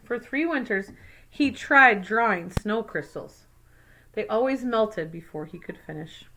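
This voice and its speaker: A woman's voice: mid-range voice, expressive, a little slow, bright, forceful tone, a little hiss or hum, rough recording. A woman teacher reading a picture book aloud to young children, adding simple explanatory asides. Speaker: second grade teacher.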